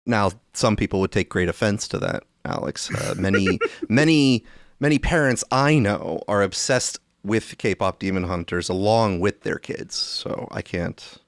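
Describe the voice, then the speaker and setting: A man's voice: lowish voice, measured pace, dry recording, clean studio sound. A man hosting a podcast with casual commentary, wry and roundabout in the way he talks. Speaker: podcast host.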